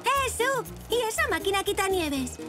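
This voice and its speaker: A woman's voice: very high voice, sing-song, moderate pace, over music. A chirpy little girl zebra.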